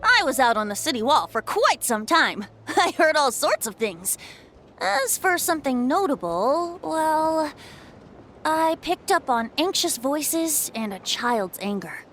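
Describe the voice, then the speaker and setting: A woman's voice: high-pitched, big pitch swings, unhurried, a bit bright, faint music behind, heavily acted. A woman voicing a playful, chirpy young character in a video game. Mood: breezy.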